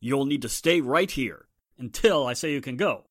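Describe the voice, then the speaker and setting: A man's voice: mid-range voice, animated, moderate pace, no echo, clean studio sound, lightly acted. A man acting a tough, bossy police inspector in a 1940s-style radio drama, curt and commanding. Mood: stern.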